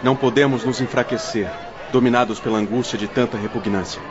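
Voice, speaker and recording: A man's voice: mid-range voice, steady tone, fairly quick, a crowd in the background, lightly acted. A man playing a resolute, pious missionary spirit leader in an audio drama, firm and exhorting. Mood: urgent resolve. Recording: dull audio with clear background noise.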